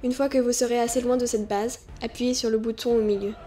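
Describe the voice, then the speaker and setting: A woman plays a cool female sniper in an audio drama. Her voice is highish, soft-edged and very even, giving formal orders with composure. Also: brisk, soft background music, natural voice. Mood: cool, commanding. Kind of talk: scripted amateur audio drama.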